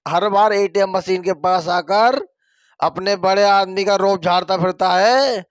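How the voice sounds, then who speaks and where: Man voicing a high, scolding, vengeful male ghost. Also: steady tone, measured pace, no echo. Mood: menacing, scornful. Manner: scolding, threatening, insult-laden. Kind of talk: dramatized Hindi moral horror story.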